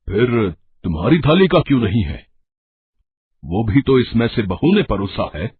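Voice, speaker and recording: A man voicing an older, blustering but fair father-in-law in a cartoon, emphatic and husky. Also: mid-range voice, big pitch swings, a little slow, exaggerated voice. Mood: insistent. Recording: dull lo-fi audio.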